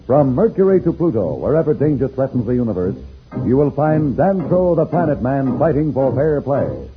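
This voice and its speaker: A man's voice: medium pitch, very animated, normal speed, lightly acted. A man narrating a vintage radio adventure serial in a grand, declamatory scripted style. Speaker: announcer and narrator. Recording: tinny old radio recording. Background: loud dramatic music.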